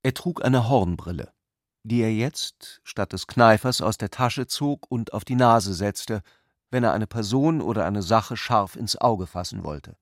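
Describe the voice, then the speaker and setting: A man's voice: on the low side, measured pace, no echo, clean studio sound. A man reading scripted literary narration as the narrator of a radio play.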